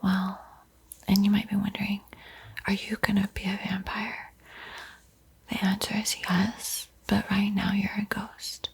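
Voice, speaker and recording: A woman playing a gentle vampire art teacher in a whispered ASMR painting roleplay, soothing and unhurried, lingering over words. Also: medium pitch, completely flat delivery, full character voice. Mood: playfully reassuring. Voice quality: breathy, mostly unvoiced whisper.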